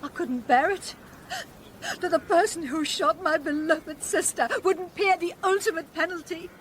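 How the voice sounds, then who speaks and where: A woman's voice: extremely high pitch, moderate pace, a little hiss or hum. An actress playing a pious, bitter, grief-stricken village woman, anguished and intense, in a radio drama. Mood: defiant anguish. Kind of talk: British radio drama acting.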